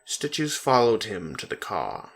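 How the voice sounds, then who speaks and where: A man's voice: on the low side, sing-song, takes it slowly, husky. A man narrating a story as a dramatic reading.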